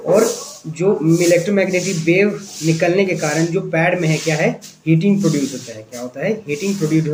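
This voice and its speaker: In a noisy, echoing room, an Indian-accented man teaches in a slightly high voice. He lectures informally, step by step, often asking a question and answering it himself. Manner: explains step by step with rhetorical questions and repetition. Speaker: physiotherapy instructor and channel host. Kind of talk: informal spoken lecture to viewers. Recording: echoey room, clear background noise.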